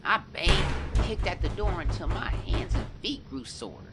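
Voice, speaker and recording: A woman's voice: fairly low pitch, very animated, measured pace, over loud music. A woman playing a frightened child who recounts an ordeal in an audio drama, with emotive, dramatic storytelling. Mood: fearful, anguished. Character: frightened young Cain, a child telling a past ordeal. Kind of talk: dramatic first-person audio drama narration.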